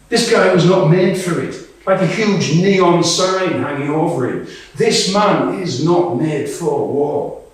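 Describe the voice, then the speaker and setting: A man performing a dramatic monologue as a candid, war-weary woman soldier, with deliberate, emphatic phrasing and pauses. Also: on the high side. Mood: wry, exasperated. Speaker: actor reading a monologue. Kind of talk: live dramatic monologue reading. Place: small live reading indoors. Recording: echoey room, distant microphone.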